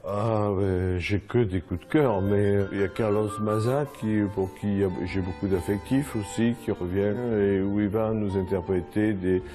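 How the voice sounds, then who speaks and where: A man's voice: lowish voice, fairly even intonation, measured pace, hardly any pauses, music in the background. A man, a theatre director answering interview questions plainly, with hesitant starts.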